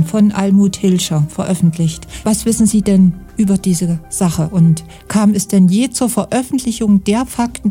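A woman radio interviewer asking prepared questions in a formal, measured manner. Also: medium pitch, mellow.

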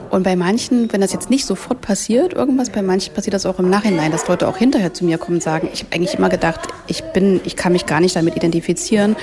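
A woman from eastern Germany answering interview questions in a thoughtful, searching way. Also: lowish voice. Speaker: founder of a women's salon, interviewee. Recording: crowd chatter in the background.

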